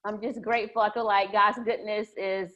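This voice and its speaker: A woman from the United States, a church member and math teacher, sharing casually on a video call in a soft, hesitant way with many fillers. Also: medium pitch, level tone, fairly quick. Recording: muffled lo-fi video call audio.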